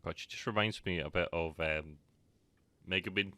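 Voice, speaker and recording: A man giving casual live-stream game commentary, halting and stammering as he playfully banters with chat. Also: deep voice, bright, forceful tone, no room sound.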